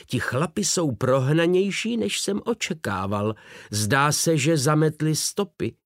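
A man reading an audiobook voices a shrewd, self-assured middle-aged detective with a crisp manner. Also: a little high, expressive, no room sound, clean studio sound, voice pushed up. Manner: crisp, assured, sly charm. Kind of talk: audiobook character voice.

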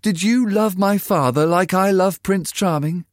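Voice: mid-range voice, unhurried, no room sound, studio quality, voice pushed up, close to an everyday voice. A reader voicing Sybil, a young girl in love, dreamy and eager, in a storybook reading.

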